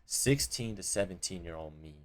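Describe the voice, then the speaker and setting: Young man with a low voice, loose slang storytelling. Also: expressive, moderate pace, noisy background. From Colorado, USA. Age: young adult. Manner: loose and profane, full of slang fillers. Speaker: vlogger. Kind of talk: casual storytelling to camera. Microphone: cheap desk mic resting on a coin jar.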